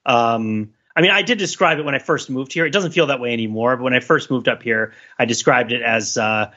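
Male podcaster, sharp bright tone, digressive casual chat, somewhat muffled audio. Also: mid-range voice, brisk. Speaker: podcast co-host.

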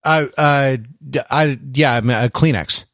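A man chatting casually as a podcast panelist, halting and tentative, with a self-deprecating, bookish way of talking. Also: mid-range voice, a bit bright, no room sound, clean studio sound. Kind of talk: casual intellectual chat.

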